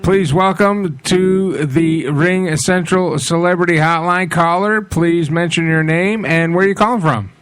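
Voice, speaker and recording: Brief: male talk-radio host, 40s, bright voice, joking and hyping, slightly higher pitch, faint hiss behind. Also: unhurried, few pauses, smooth, dry recording. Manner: jokey, laughs often, loud hype.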